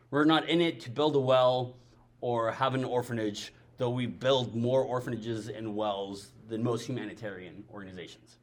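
A man from Mexico with a Mexican accent, a Spanish speaker giving an earnest, emphatic sermon-style talk about mission work. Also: medium pitch. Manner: earnest, emphatic, repeats phrases for weight.

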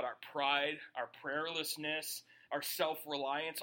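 A man's voice: on the high side, bright, forceful tone, low-quality recording. A man preaching a sermon in a casual, joking way, often asking the audience questions. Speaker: pastor.

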